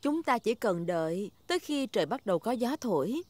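A woman voicing a gentle, encouraging mother pig in a children's cartoon dub, with a soothing manner. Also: on the high side, very animated, brisk, a little hiss or hum.

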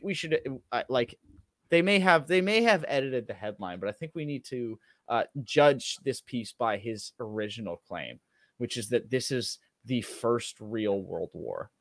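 A man co-hosts a casual political stream from an indoor setup. His voice sits at a middle pitch with very little room sound. He moves haltingly between reading aloud and sardonic commentary. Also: lively intonation, a bit bright, no room sound. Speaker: show co-host reading and commenting on an article. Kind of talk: casual political livestream chat with article read aloud. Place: indoor room at home, livestream video call.

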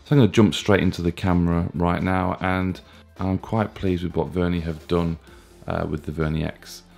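A man in his thirties with a chesty, hoarse cold voice, casually reviewing a gadget with plenty of fillers and restarts. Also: low voice, normal speed, faint music behind. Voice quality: gravelly. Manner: relaxed, plain talk with frequent fillers and restarts. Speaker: tech reviewer.

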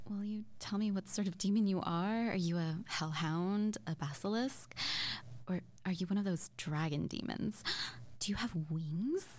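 Small flirty witch played by a woman, intimate roleplay audio. Lowish, creaky, breathy, stammering and awkward. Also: darkish tone. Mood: curious, excited. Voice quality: breathy, often dropping to near-whisper. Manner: hesitant, stammering, giggly.

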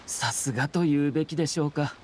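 A man playing a refined young noble commissioner in a Japanese anime game, speaking calm, formal words laced with veiled sarcasm. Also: on the high side, fast, lightly acted. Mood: cool, ironic. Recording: game dialogue over ambient scene noise. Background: game scene ambience.

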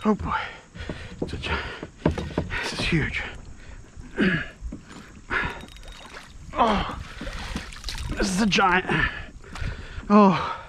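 A man filming himself from a kayak, his voice sitting a bit high and his words coming slowly. He gasps and talks to the fish he is landing. The outdoor action-camera audio carries a faint hiss of wind and water. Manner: breathless exclamations, talks to the fish. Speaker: fishing vlogger. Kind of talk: excited self-filmed fishing commentary. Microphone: head-mounted action camera.

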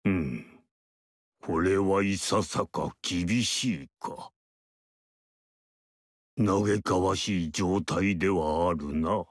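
A lofty, aloof sage mystic. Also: male voice, sits low, dry recording, studio quality, exaggerated voice. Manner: measured, lofty archaic speech. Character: aloof male sage mystic, calm and superior. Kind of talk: game character voice lines.

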